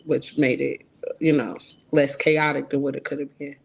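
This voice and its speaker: A woman's voice: deep voice, level tone, moderate pace, gravelly. A woman from Ohio, a co-director and cast member, answering questions in a thoughtful, hesitant way. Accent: American English, Ohio. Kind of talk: casual interview talk.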